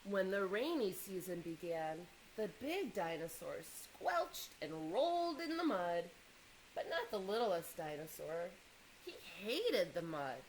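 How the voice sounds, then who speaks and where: A woman reading a children's picture book aloud in an expressive way, pausing to engage young listeners with questions and asides. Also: lowish voice, a little hiss or hum, low-quality recording.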